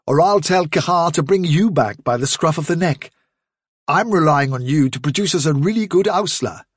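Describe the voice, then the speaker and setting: A British man voicing a stubborn, decisive male rabbit chief who talks briskly and firmly in a storybook. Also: on the high side, expressive, husky, no echo, studio quality. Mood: calm, wry. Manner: brisk, urgent, firm.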